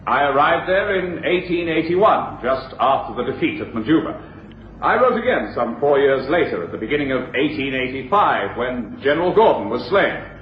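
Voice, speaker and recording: An actor playing a composed middle-aged claimant in a radio drama, testifying formally and precisely. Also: male voice, mid-range voice, very animated, moderate pace, natural voice. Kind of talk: 1980s radio drama acting. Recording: echoey, dull radio broadcast, faint noise.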